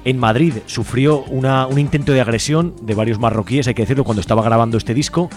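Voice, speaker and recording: A man's voice: mid-range voice, fast talker, soft background music. A man hosting a radio interview, asking long conversational questions. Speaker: radio host.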